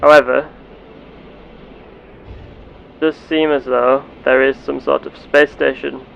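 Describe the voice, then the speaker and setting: A man voicing a curious, earnest space explorer with measured, formal, theatrical phrasing, narrating a sci-fi game roleplay. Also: medium pitch, flat delivery, creaky, dull audio, slight background noise. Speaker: gameplay host.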